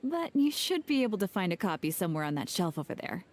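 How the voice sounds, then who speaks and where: An actress playing a chatty, wry bookshop clerk in a video game cutscene. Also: female voice, fairly low pitch, sing-song, fast talker, slight background noise.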